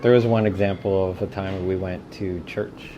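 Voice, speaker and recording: A man co-hosting a family vlog, explaining things in a relaxed, halting way. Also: low voice, noisy background. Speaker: vlog co-host. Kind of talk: casual vlog chat.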